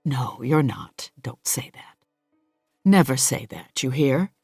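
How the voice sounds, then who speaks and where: A woman voicing a kind, firm middle-aged male boss who speaks in a gentle, reassuring, fatherly way. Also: very deep voice, lively intonation, slow pace, breathy edge, no echo, studio quality, soft background music, full character voice. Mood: consoling.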